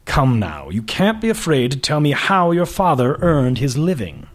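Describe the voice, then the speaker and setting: A man reading the part of a haughty, commanding magazine editor with an aristocratic accent, speaking slowly and clipped. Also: medium pitch, huge pitch swings. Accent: upper-class East Coast with British touch.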